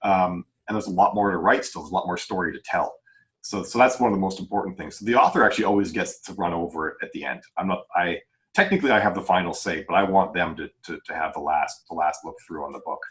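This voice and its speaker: A man's voice: sits low, quick pace, a bit bright. A man chatting casually as a podcast host and publisher, earnest and rambling in unscripted talk. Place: remote group video call.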